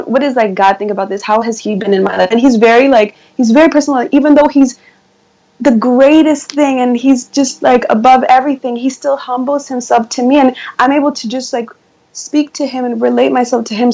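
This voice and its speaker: A woman with a soft voice, talking in a casual interview as a guest singer, hesitant and full of fillers. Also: on the high side, gravelly, low-quality recording. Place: near her piano.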